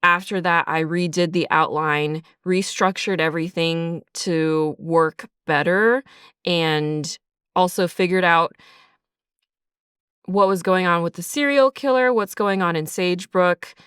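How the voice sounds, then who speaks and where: A woman's voice: low-pitched, fairly bright tone, clear, resonant tone, no echo, studio quality. A woman writer explaining her creative process in a casual, reflective interview style. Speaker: writer and creator of an audio drama.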